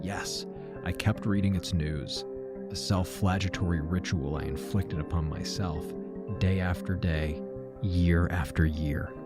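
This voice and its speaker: An aging man looking back with weariness and regret in a fiction podcast. His voice sits low, and he narrates in measured, reflective phrases with a dramatic shape. Music plays clearly behind him. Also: fairly even intonation. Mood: anguished, rueful. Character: weary, regretful aging man recounting his troubled past.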